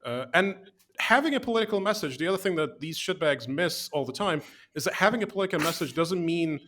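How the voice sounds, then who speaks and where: A man co-hosting a casual video chat about games, talking at length and acting out quotes in a raised voice. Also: fast. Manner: animated, acts out quotes in a higher voice. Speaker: podcast co-host.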